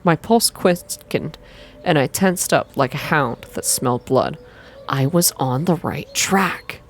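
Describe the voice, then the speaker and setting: A young adult reading a visual novel aloud in a soft ASMR style, close to the microphone, with informal asides between passages. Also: mid-range voice, lively intonation, slow. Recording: clear background noise.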